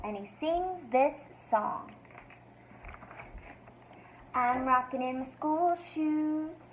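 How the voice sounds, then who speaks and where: A woman reading a picture book to young children like a teacher, expressive and sing-song, with encouraging questions. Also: high-pitched, slight background noise, rough recording. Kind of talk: read-aloud for young children, asking questions.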